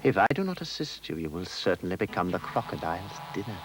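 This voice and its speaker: A man's voice: low-pitched, sing-song, fast, background noise. A man doing a dry, knowing old python. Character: wise, dry old python rock snake. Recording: storybook reading with music behind.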